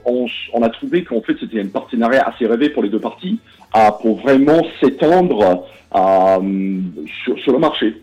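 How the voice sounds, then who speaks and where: A man with a British English accent answers interview questions, often stalling on fillers and restarting his sentences. His voice is mellow and dark. The recording sounds dull and lo-fi. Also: medium pitch, expressive, normal speed. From Britain. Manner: hesitant, many fillers and restarts. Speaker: game publisher cofounder. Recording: dull lo-fi audio.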